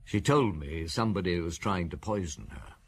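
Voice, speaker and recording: An actor playing a seasoned, genial middle-aged police inspector in a radio drama, conversational, wry and musing. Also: male voice, low-pitched, lively intonation, moderate pace, breathy edge. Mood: reminiscing.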